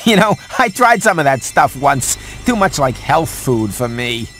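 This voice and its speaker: An actor playing a sarcastic, fussy house cat who wisecracks his way through an animated video game. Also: mid-range voice, a little slow, faint music behind. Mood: wry, instructing. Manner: wisecracking, sardonic.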